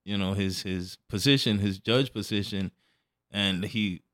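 A man's voice: fairly low pitch, a little slow, fairly bright tone. A man from Puerto Rico and Chicago, a podcast guest chatting casually in street slang with frequent conversational tags. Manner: slangy, punctuated with "you know what I'm saying". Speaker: podcast guest, event promoter. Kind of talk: casual autobiographical chat.

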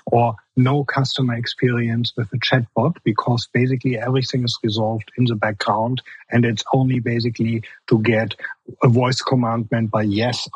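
A man with a strong German accent talks earnestly as a podcast guest. His voice is very husky and breathy, at a middle pitch. The sound is dry and close. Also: no room sound, muffled recording. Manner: earnest, leans on "basically", restarts phrases. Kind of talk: podcast interview.

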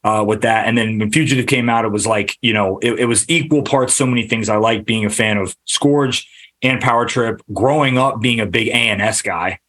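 A man hosting a podcast interview, asking long, halting questions full of restarts and fillers. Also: lowish voice, fairly even intonation, fairly bright tone. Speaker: podcast host. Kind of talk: casual interview.

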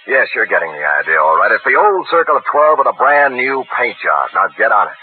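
An actor playing a wisecracking private detective who narrates with sardonic wit, in 1940s radio drama style. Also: male voice, mid-range voice, big pitch swings, a little fast, soft background music, close to an everyday voice. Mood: wry. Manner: wry, sardonic. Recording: tinny old radio broadcast with loud hiss.

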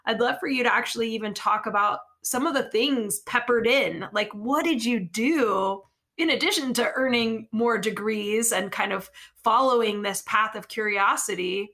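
Bright-voiced woman hosting an interview. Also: a little high, normal speed. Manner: affirming, thoughtful. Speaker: host. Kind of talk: interview questions.

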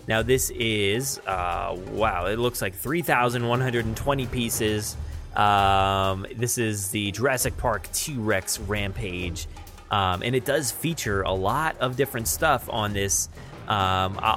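A man hosting a podcast, chatting casually through news in a halting, think-aloud way. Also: lowish voice, fairly bright tone. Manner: halting, thinking aloud while describing what he sees. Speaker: podcast host. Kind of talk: casual unscripted news chat.

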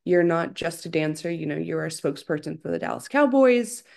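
A woman's voice: low-pitched, expressive, a little fast, creaky, darkish tone. A young woman from Ukraine, a former professional dancer, chatting as a guest and telling her story in a friendly way. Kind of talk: casual interview.

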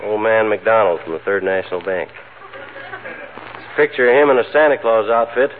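An actor playing a folksy, grumbling, wisecracking middle-aged husband in a 1940s radio comedy. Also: male voice, on the low side, moderate pace, natural voice. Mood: sardonic. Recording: narrow-band vintage radio, faint noise, studio audience.

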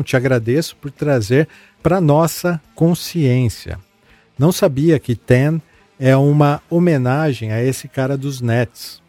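A man hosting a casual podcast. He chats in a relaxed way and reads listener messages aloud, with many fillers and repeated words. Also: mid-range voice, no room sound. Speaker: podcast host.

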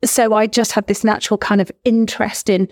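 A woman's voice: medium pitch, level tone, a little fast, a little mellow. A woman from England in her forties, a former language teacher, chatting enthusiastically as an interview guest and laughing often. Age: 40s. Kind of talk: casual interview chat.

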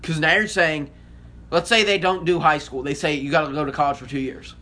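A man's voice: fairly high pitch, steady tone, a little fast, sharp tone. An American man co-hosting a sports podcast, arguing his points emphatically and repeating phrases to drive them home in casual debate.

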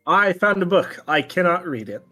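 A man's voice: sits high, lively intonation, moderate pace, sharp tone, no echo, faint music behind. A man playing a tabletop role-playing game, speaking casually as his character.

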